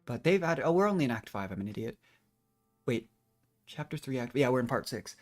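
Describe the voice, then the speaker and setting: Male game streamer, medium pitch, casual chatty live commentary with a rambling, self-deprecating manner. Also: sing-song, brisk, faint music behind.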